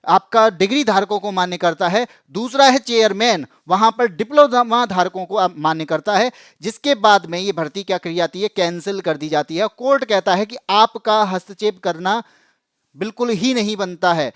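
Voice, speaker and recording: A man's voice: sits high, normal speed, fairly bright tone, no room sound, studio quality. A man presenting an explanatory news update to students, emphatic and repeating phrases for stress. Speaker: exam coaching channel presenter.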